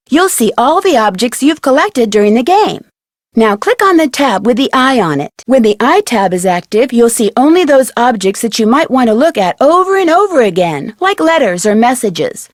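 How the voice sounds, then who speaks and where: An actress playing an inquisitive, self-assured young female detective in an adventure game, speaking in a clear conversational way. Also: high-pitched, no room sound, clean studio sound. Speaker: game protagonist and tutorial guide. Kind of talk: scripted adventure game dialogue and narration.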